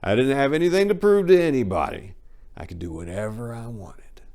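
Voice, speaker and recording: A man's voice: medium pitch, brisk, a little mellow. A man giving a dramatic first-person reading as a book narrator, telling stories in a brash, irreverent, slangy way.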